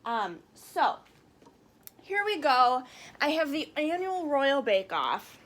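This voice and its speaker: A woman speaking casually and reading a picture book aloud to children in an expressive, friendly way. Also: sits high, takes it slowly, a little hiss or hum. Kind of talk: casual chat and children's storybook read-aloud.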